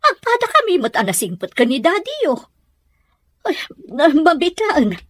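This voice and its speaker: A woman's voice: very high-pitched, wildly swooping pitch, moderate pace, fairly bright tone, no echo, exaggerated voice. A woman with a Filipino accent playing a patient, worried middle-aged mother in a family audio drama. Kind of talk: amateur audio drama acting.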